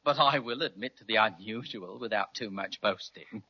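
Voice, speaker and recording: A man's voice: fairly low pitch, moderate pace, slightly rough. A man playing a chatty, fussy, boastful ostrich with a British accent in an old-time children's radio serial. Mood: chipper, boastful. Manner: chatty, fussy, theatrical, breaks into singing. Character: jaunty, boastful, delicate talking male ostrich. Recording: muffled old radio recording, music behind.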